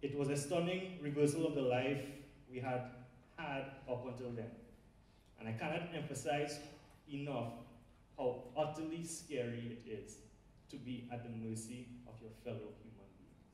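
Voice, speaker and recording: A man's voice: medium pitch, moderate pace, a little mellow, a little hiss or hum. A man from Trinidad with a Trinidadian accent, giving a conversational sermon with fillers and asides to a large audience. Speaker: preacher. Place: large lecture hall with a big audience. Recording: very echoey hall, voice heard from far off.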